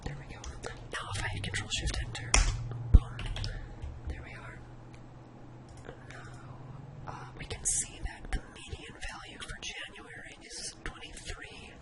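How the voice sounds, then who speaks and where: A person of indistinct sex whispering a breathy, informal ASMR spreadsheet tutorial, thinking aloud step by step. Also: a little slow, poor audio. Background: computer keyboard typing.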